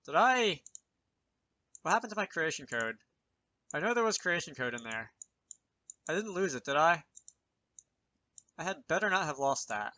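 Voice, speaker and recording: A man's voice: on the high side, very animated, moderate pace, long pauses, sharp tone, dry recording. A man presenting an informal programming tutorial, thinking aloud with self-deprecating asides as he explains. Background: keyboard typing and mouse clicks.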